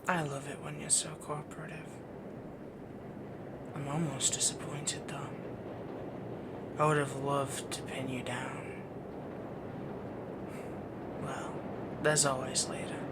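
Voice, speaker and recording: Male actor as possessive young werewolf boyfriend, soft half-whisper, gravelly fry, subdued even pitch, cooing and dominant, intimate audio roleplay. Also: very noisy. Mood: pleased, teasing. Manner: rambling, dominant, cooing endearments.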